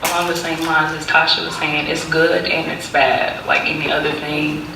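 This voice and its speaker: Young woman panelist, measured, echoey hall. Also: deep voice, little pitch movement, creaky. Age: young adult. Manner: measured, uses "like" and "um" often. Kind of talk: panel answer. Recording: distant echoey hall recording with background noise.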